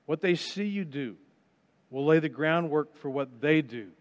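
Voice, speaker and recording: A pastor preaching a sermon, retelling a scripture story with dramatic pauses and swings between loud and hushed delivery. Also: male voice, on the high side, lively intonation. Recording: muffled sound with long reverb in a large hall.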